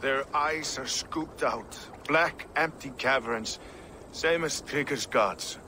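A man's voice: mid-range voice, slow, sharp tone, a little hiss or hum. A man playing a world-weary warrior in a video game, plain-spoken and a little wry. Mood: grim. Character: grim, world-weary male Viking-age city warrior. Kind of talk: video game cutscene acting.

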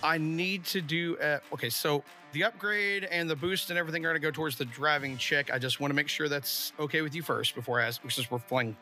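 A man playing a tabletop game, chatting casually in an excitable, expressive way. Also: a little high, a little fast, few pauses, soft background music.

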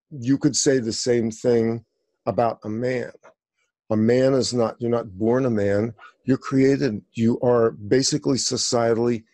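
Rambling older man, mellow voice. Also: medium pitch, no room sound. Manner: rambling, digressive, with many fillers. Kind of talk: conversational discussion.

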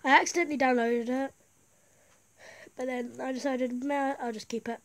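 A gaming YouTuber with a high voice, affected by a cold, giving casual, rambling, excitable gameplay commentary. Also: moderate pace. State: has a bad cold.

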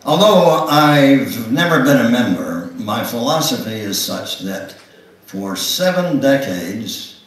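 A ninety-year-old man giving a dramatic, pause-filled memoir reading as a guest speaker. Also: mid-range voice, big pitch swings, a little hiss or hum. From Knoxville, Tennessee, United States. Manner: slow, theatrical delivery with long pauses. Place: church sanctuary, indoors. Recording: echoey hall, distant microphone.

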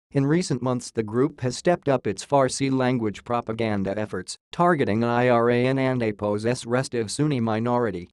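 A man's voice: mid-range voice, clear, resonant tone, no echo. Synthetic-sounding man reading news, dry sound. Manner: flat synthetic read-out, spells out abbreviations and symbols. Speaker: news reader. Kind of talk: scripted news narration.

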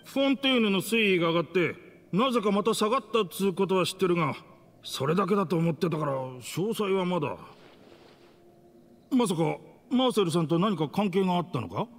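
An actor playing a brash, excitable young gangster in an anime game, with rough, informal speech. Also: male voice, high-pitched, brisk, long reverb tail. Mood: puzzled.

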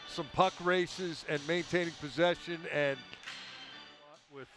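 A man's voice: on the high side, steady tone, slow pace, fairly bright tone, faint music behind. Chatty hockey color commentator man. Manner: loose, analytical, with many uh fillers.

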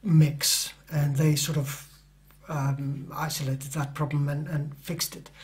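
A man's voice: fairly high pitch, little pitch movement, takes it slowly. A middle-aged man who is not a native English speaker, an author answering interview questions in a hesitant way, with many fillers and restarts.

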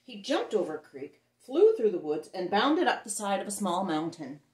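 A middle-aged woman performs a read-aloud for little children. Her pitch moves widely and she leans into dramatic emphasis and pauses. The room adds noticeable echo around her voice. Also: big pitch swings. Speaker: children's storyteller reading a picture book aloud. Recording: roomy echo on the voice.

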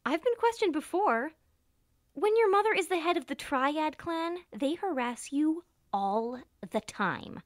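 A breezy young mobster's daughter in an audio drama, played by a woman. Her voice is high and very animated, recorded dry, with a casual, chatty and reassuring way of talking. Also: unhurried, no room sound.

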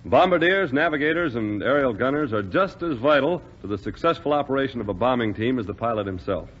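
An actor playing a measured, encouraging air force captain in a 1940s radio drama. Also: male voice, medium pitch, animated, breathy edge. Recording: muffled 1940s radio recording.

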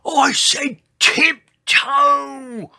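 A reader doing a loud grumpy male dinosaur, drawn-out delivery. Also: mid-range voice, sing-song, vocal fry, breathy edge, no echo, clean studio sound, full character voice. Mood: outraged. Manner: loud, protesting, exclaiming.

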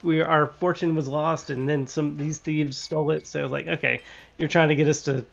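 A man's voice: fairly high pitch, steady tone, brisk, gravelly, highs cut off, faint music behind. A man playing in an online tabletop game, chatting loosely with lots of "like.". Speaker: player. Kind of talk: casual chat at a tabletop game. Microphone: video call webcam.

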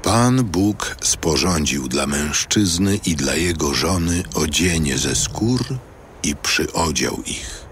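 Male narrator, extremely low voice, unhurried solemn scripture reading. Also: expressive, a bit bright, a little hiss or hum.

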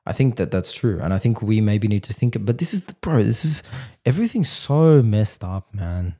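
A man co-hosting a podcast, arguing his points in casual, animated debate and often saying "bro". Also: on the low side, moderate pace. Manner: animated, argumentative, says "bro" a lot. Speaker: podcast co-host.

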